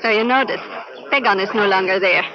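A woman playing a seductive, mercenary informant with a Spanish accent, speaking in a coy, measured way in 1950s radio drama. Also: medium pitch, very noisy, close to an everyday voice. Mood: coy, calculating. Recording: muffled old radio broadcast with loud music.

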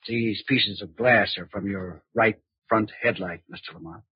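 A man with an American accent playing a sly, menacing blackmailer in an old radio drama, husky and sardonic. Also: on the low side, normal speed, no room sound. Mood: pressing, menacing. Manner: insinuating, sardonic. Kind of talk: 1940s radio drama acting. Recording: muffled old radio recording.

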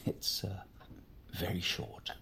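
An actor playing an awkward, disapproving middle-aged British father, speaking haltingly and stiffly in an audio drama. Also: pushed and bright. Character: awkward, disapproving older father of grown daughter.

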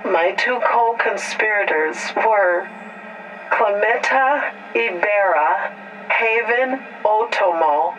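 An actress playing a remorseful woman in an audio drama, confessing solemnly in a deliberate, halting way. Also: medium pitch, a bit bright, lo-fi sound, slight background noise. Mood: grave, controlled.

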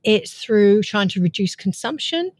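A woman's voice: medium pitch, animated, a little slow, darkish tone, dry recording. A woman, speaking as an author being interviewed, explaining ideas in an earnest, articulate way. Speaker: author and guest.

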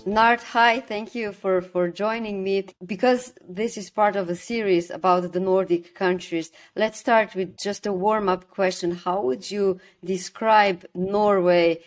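A woman hosting a podcast, reading a measured scripted introduction and then interviewing a guest. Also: mid-range voice, fairly even intonation, dry recording, soft background music. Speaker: podcast host.

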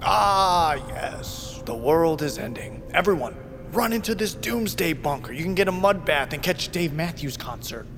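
A man's voice: a little high, lively intonation, normal speed. A man acting in an audio drama as a sarcastic, irreverent skeptic with a mocking delivery. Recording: long hall reverb, music behind.

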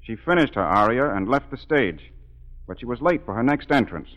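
An actor playing an opera singer who gravely recounts eerie events, in measured, dramatic 1940s radio drama narration. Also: male voice, medium pitch, expressive, a bit bright, lightly acted, rough recording. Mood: suspenseful.

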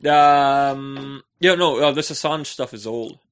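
A man's voice: medium pitch, slow pace, clean tone. A man co-hosting a podcast, chatting casually and joking with dramatic flights of fancy. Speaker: podcast co-host. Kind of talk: casual chat.

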